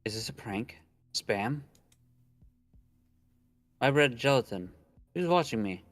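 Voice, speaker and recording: A man's voice: mid-range voice, expressive, slow. A young man casually reading visual novel narration aloud and chatting, stumbling over words now and then. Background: soft background game music.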